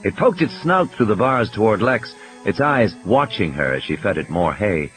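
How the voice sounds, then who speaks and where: A man's voice: fairly low pitch, sing-song, normal speed, rough recording. A man reading scripted fiction as an audiobook narrator, in a dramatic storytelling style, with music and sound effects behind him.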